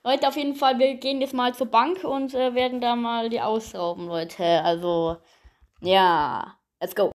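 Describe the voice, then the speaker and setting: A woman casually improvising a first-person game story, chatty and halting, often addressing her listeners. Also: a little high, fairly even intonation. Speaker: narrator and player.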